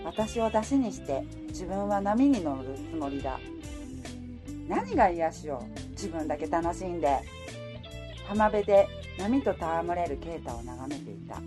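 A woman's voice: on the low side, unhurried. An actress playing a flustered, prickly woman of thirty-three who narrates her own romance in a radio drama. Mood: reflective. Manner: expressive, switches between inner monologue and dialogue. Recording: music behind the voice.

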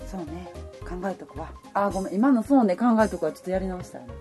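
A woman's voice: on the low side, lively intonation, quick pace, over loud music. A woman with a Kansai accent bantering casually during drama recording outtakes, often flustered and giggly as she stumbles over lines. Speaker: amateur radio drama writer and performer.

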